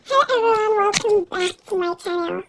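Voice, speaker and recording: Tiny squeaky voice-changer character. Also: female voice, steady tone, normal speed. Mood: chirpy greeting. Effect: pitch-raising voice changer. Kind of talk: vlog greeting. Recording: very noisy, clicky processed audio.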